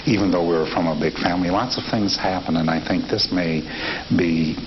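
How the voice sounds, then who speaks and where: Very deep-voiced man in his fifties. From Nebraska, USA. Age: 50s. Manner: reflective, unhurried, with frequent fillers and restarts. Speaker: interviewee. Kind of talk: relaxed oral history interview. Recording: dull lo-fi tape with steady hiss.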